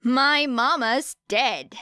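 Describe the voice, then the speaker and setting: Defiant, snarky girl performed by a woman, goading and dry, crystal-clear tone, close dry audiobook recording. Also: sits high, very slow, bright, forceful tone, no echo, voice pushed up, full character voice. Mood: snappy sarcasm. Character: cocky, sarcastic young girl, goading and defiant. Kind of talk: audiobook character dialogue.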